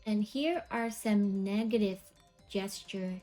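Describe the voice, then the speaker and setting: Woman in her 30s, language teacher, very slow deliberate delivery, lapel mic in an echoey room, soft music under. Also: mid-range voice. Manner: slow, deliberate, demonstrating phrases. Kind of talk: scripted video lesson. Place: indoor studio with cloth backdrop.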